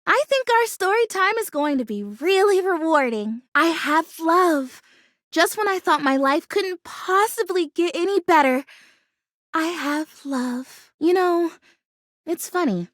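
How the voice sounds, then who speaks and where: A woman's voice: very high voice, lively intonation, measured pace, a bit bright, no echo, clean studio sound, heavily acted. A woman voicing a perky, earnest, kooky female houseplant come to life in a video game, with a bubbly, gushing manner. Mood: happy, playful. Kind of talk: video game character voice acting.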